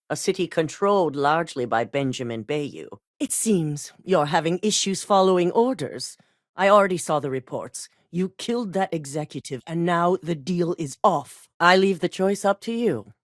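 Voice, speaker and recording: A woman's voice: on the low side, no echo, studio quality, natural voice. A woman voicing a cool, commanding corporate CEO. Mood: stern. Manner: measured, authoritative, coolly businesslike. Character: composed, commanding middle-aged female corporate CEO. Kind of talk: video game dialogue. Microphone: dry studio voice recording.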